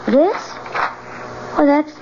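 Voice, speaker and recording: Lively high-voiced scheming dame. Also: female voice, very slow, exaggerated voice. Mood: sly, curious. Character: scheming, two-faced female accomplice, casually sweet. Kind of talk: 1970s radio drama acting. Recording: dull, noisy old radio broadcast.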